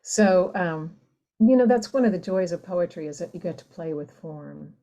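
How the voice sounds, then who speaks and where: A woman poet talking reflectively and reading her work in a thoughtful, deliberate way. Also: lowish voice, animated, warm tone, muffled recording. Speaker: poet, podcast guest. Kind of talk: reflective talk and poetry reading.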